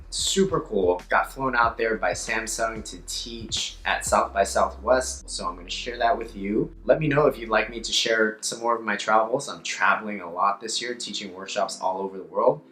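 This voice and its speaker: A young man with a hoarse, slightly raspy voice, an upbeat yoga teacher chatting playfully in a casual vlog. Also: on the low side, moderate pace, hardly any pauses, pushed and bright, echoey room. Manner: upbeat, playful, self-mocking.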